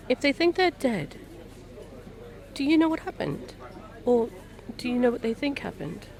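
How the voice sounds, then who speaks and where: A woman acting in an intimate audio roleplay as a kind, gently teasing private eye, talking softly-concerned and conversational to a stranger. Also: high-pitched, full character voice. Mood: curious, surprised. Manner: soft-hearted, hesitant, questioning, playful asides.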